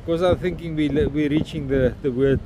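A man's voice: fairly high pitch, steady tone, moderate pace. Blunt South African man ranting outdoors. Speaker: vlogger. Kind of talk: outdoor walking vlog. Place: windy beach at an estuary mouth, outdoors. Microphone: phone camera held at arm's length. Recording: wind and outdoor noise.

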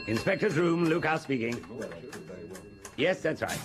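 An actor playing a businesslike police inspector in a radio drama, giving clipped instructions. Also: male voice, a little high, animated, measured pace, a crowd in the background. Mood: brisk, official. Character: brisk male police inspector issuing orders by telephone.

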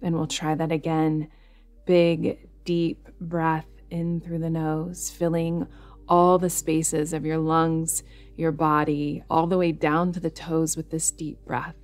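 A woman guiding a yoga nidra meditation in a soothing, unhurried way, leaving pauses between instructions. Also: deep voice, little pitch movement, a little mellow. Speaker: meditation guide. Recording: music behind the voice. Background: music.

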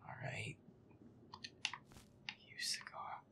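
A man playing a feisty werewolf boyfriend who grumbles and swears sarcastically through a scary video game in a breathy, whispery voice. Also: drawn-out words. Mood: annoyed muttering. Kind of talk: gameplay roleplay, muttered reactions.

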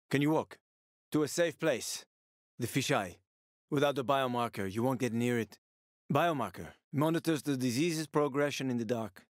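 A man performs a hardened male video game survivor with a medium-pitched voice. He sounds worn by the world, sardonic and streetwise, with menace never far off. The delivery is dramatic and the sound is close and dry, free of room. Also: no echo, lightly acted. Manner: gritty, sardonic, foul-mouthed.

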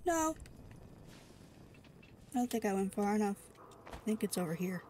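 A woman's voice: mid-range voice, expressive, normal speed, darkish tone, slight background noise. A young woman with a stuffy cold, rambling sleepily and full of fillers while playing a video game. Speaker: gaming streamer. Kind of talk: casual let's-play commentary.

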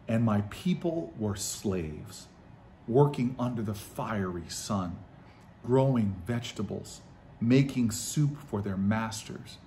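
A loving Haitian grandmother from a picture book, read by a man. The storytelling is slow and dramatic, each phrase measured out. Faint noise sits behind the voice. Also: fairly low pitch, a little mellow.

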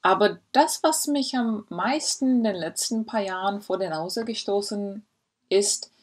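Husky-voiced woman speaking earnestly. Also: mid-range voice, a little slow. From Czech Republic. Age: 40s. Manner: earnest, reflective, with frequent hesitations. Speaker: historian. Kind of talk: personal video statement. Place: home study lined with bookshelves. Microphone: laptop webcam mic.

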